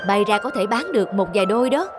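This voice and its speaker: A man's voice: high-pitched, animated, fast, over loud music. A man voicing a polite, practical older shopkeeper in a cartoon, talking in a matter-of-fact way. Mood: apologetic, practical. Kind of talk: cartoon drama dubbing.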